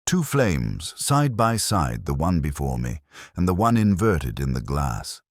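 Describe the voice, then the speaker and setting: A man with an extremely deep voice narrates a detective mystery in the first person, slow-minded and deliberate in his phrasing. His pitch still moves expressively from line to line, and the sound is dry, with no room around it. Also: very animated, dry recording. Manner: measured, deliberate, brooding.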